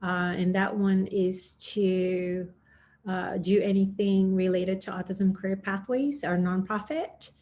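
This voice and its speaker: A woman's voice: medium pitch, a little mellow, a little hiss or hum. A woman from Indonesia speaks unhurriedly on a video call from her dining room. She hesitates often and corrects herself as she introduces herself. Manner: hesitant, many fillers and self-corrections. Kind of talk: round table introduction.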